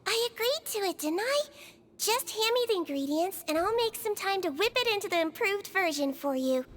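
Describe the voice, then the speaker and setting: Gentle non-human game nurse, very high and sweet, teasingly kind. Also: female voice, lively intonation, normal speed, a little hiss or hum. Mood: caring. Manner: soft, motherly, gently teasing. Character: small gentle female melusine nurse, kindly and teasing. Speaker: prison nurse.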